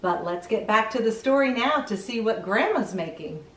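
Animated, high-voiced woman reading to children. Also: moderate pace. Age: middle-aged. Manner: expressive, addressing small children with questions and exclamations. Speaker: children's read-aloud host. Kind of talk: picture-book read-aloud with chatty asides to young viewers. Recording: roomy echo, distant microphone.

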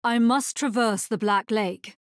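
A woman voicing a solemn, resolute necromancer in a dark fantasy video game, with a husky, breathy voice and grave delivery. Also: on the high side, steady tone, a little slow, no echo, studio quality, close to an everyday voice. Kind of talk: dramatic scripted game dialogue.

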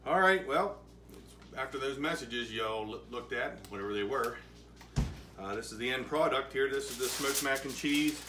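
A man's voice: mid-range voice, animated, normal speed. A man in his forties hosting a home cooking vlog, chatting in a folksy, joking, plainspoken way. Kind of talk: casual cooking show chat. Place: outdoors by a smoker in wind and snow. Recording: distant phone audio with wind noise.

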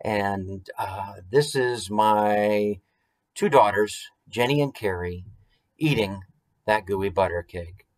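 A man's voice: lowish voice, slow. American man reading a children's picture book. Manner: expressive, conversational storytelling. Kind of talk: children's story time read-aloud with chatty asides. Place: small room at home, indoors.

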